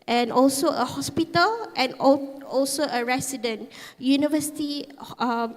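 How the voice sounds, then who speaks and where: A woman from Malaysia giving a conference presentation, halting with many fillers. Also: sits high. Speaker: conference speaker. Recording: reverberant conference hall.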